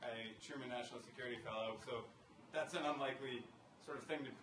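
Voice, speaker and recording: A man in his thirties speaking as a panelist, talking in a halting way with many fillers into a table microphone in a college conference room. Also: mid-range voice, fairly even intonation, noisy background. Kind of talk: conversational panel remarks. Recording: echoey room, picked up from a distance.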